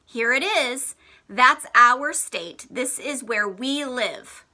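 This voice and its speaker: Female schoolteacher for a children's read-aloud lesson; bright, clearly forward tone, slow and deliberate, expressive delivery. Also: high voice, a little hiss or hum. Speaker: elementary school teacher.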